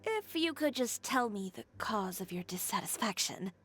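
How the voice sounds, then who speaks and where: A woman's voice: medium pitch, big pitch swings, moderate pace, fairly bright tone, soft background music. A woman playing a poised young fashion designer in a video game, composed, frank and businesslike. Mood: calm, professional.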